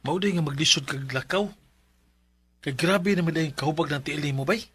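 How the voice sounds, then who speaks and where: A man's voice: fairly high pitch, moderate pace, a bit bright, faint music behind, natural voice. A man with a Cebuano Filipino accent acting a concerned coworker and friend in a radio drama, speaking colloquially.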